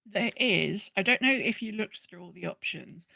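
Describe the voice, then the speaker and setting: A woman's voice: medium pitch, expressive, moderate pace, vocal fry, bright, forceful tone, breathy edge, no room sound. A woman with a British accent chatting casually as a podcast co-host, telling things in an emphatic, conversational way. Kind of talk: casual chat.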